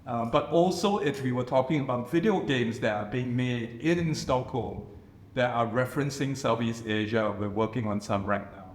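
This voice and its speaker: A man's voice: mid-range voice, moderate pace, a little hiss or hum. A man from Singapore, speaking thoughtfully on a panel and often restarting his phrases. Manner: thoughtful, restarts phrases, many "you know"s. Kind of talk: reflective panel discussion. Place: reception room at a residence. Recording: roomy live panel audio.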